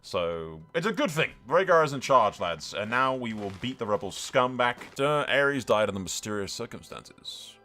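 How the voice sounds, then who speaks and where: A man hosting a gaming video, giving casual, excitable and sweary commentary with some roleplay storytelling. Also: mid-range voice, moderate pace, hardly any pauses, faint music behind.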